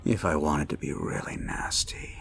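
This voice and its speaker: A man playing a cruel, menacing slasher killer in a horror audio roleplay, taunting the listener with a gravelly voice and drawn-out lines that often sink into whispers. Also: extremely low pitch, a bit bright, exaggerated voice. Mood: menacing, gloating. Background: music.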